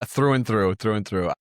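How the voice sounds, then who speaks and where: A man whose first language is Italian, talking in a casual chat, animated and restarting his sentences as he thinks aloud. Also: fairly low pitch, no room sound, clean studio sound.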